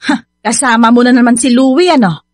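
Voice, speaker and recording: A woman's voice: fairly high pitch, brisk, no room sound, clean studio sound, natural voice. A woman with a Filipino accent playing a nagging, greedy, belittling middle-aged mother in a radio drama, scolding and dismissive. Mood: grudging, demanding. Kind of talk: radio drama acting in Tagalog.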